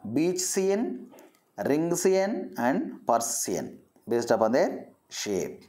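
A woman gives an explanatory science lecture. Her voice sits low for a woman and she takes her time, going slowly through the material. Her delivery is didactic, with phrases restated for the learner. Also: darkish tone. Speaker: zoology teacher.

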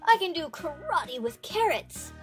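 A woman's voice: very high voice, sing-song, unhurried, faint music behind, full character voice. A woman voicing a boastful superhero bunny from a storybook, reading in a declamatory way. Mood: proud. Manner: declamatory read-aloud.

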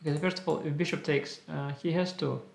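Young man, Russian-tinged English accent, gravelly, thinking aloud in clipped phrases, echoey small room. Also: on the high side, steady tone, fast. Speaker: chess streamer. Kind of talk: casual live-stream chat while solving puzzles.

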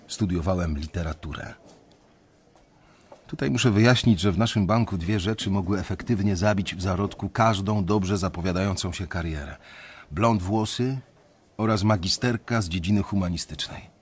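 An actor playing a weary young banker, narrating his story in a radio drama in a reflective, confiding way with a husky voice. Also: sits low, moderate pace. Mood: reflective, resigned.

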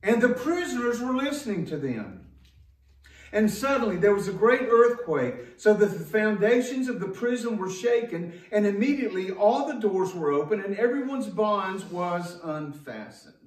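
A man's voice: high-pitched, expressive. Man in his sixties, emphatic folksy pastor, sermon delivery, echoey church, distant mic. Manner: emphatic, folksy, pausing for rhetorical questions. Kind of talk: sermon to a congregation. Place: church sanctuary, indoors at a wooden pulpit. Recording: echoey room, distant microphone.

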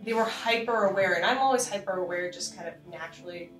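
A young woman from Boston on a casual podcast, animated and laughing often as she talks. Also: medium pitch, quick pace, faint music behind. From Boston, USA. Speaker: podcast co-host. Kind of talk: casual chat. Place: conference room, indoors. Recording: roomy sound from a distant mic.

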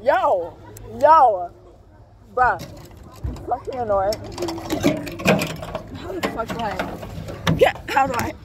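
A young woman who has lost her voice, talking hoarsely into a phone at an outdoor carnival at night. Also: a little high, very animated, slow, long pauses. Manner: slangy, swearing, exclaiming. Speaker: vlogger. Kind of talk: casual vlog at a fair. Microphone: handheld phone. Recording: loud crowd and ride noise.

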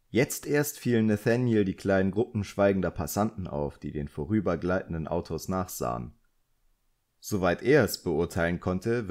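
A man's voice: sits low, darkish tone. A man reading scripted literary narration as an audiobook narrator.

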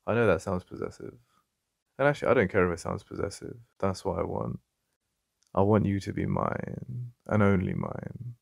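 A man with an English accent playing a jealous, possessive yet vulnerable boyfriend in an intimate ASMR roleplay, halting and conversational with a gravelly voice. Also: deep voice, big pitch swings, no room sound, clean studio sound, full character voice. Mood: possessive, tender. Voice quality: gravelly, creaky. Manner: halting, repeating himself, conversational. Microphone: close, dry studio-style mic.

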